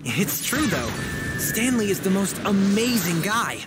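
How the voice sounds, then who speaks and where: Eager, high-voiced young adventurer. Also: male voice, very animated, measured pace, low-quality recording. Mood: admiring. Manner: earnest, youthful, wide-eyed. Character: eager, naive young male novice adventurer, admiring and earnest. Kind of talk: English video game voice acting. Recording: background music under dialogue.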